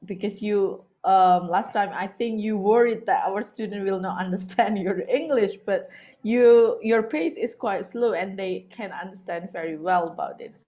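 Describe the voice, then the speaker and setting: A woman's voice: medium pitch, mellow. A woman whose first language is Indonesian, moderating a lecture's question session politely, with some hesitation. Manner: polite, halting, with fillers. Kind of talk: hosting a Q and A.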